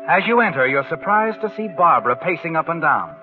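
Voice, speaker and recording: An actor playing an ominous, knowing narrator in a 1940s radio drama, addressing the doomed character in a taunting, dramatic way. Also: male voice, fairly high pitch, animated, brisk, natural voice. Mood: knowing, sardonic. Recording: tinny old radio broadcast, loud music.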